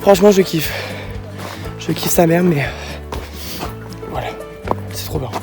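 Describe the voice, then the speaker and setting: A woman's voice: on the low side, unhurried, over music. A young woman filming herself outdoors on a hike, talking in a breathless, jokey and self-mocking way. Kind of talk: casual handheld vlog talk.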